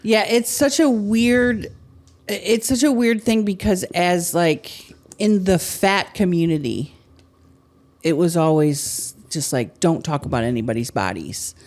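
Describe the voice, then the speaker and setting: A woman hosting a podcast, chatting candidly and with animation, her loudness swinging up and down. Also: fairly low pitch, a little slow. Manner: candid, animated, swings between loud and soft. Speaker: podcast host. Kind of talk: casual chat.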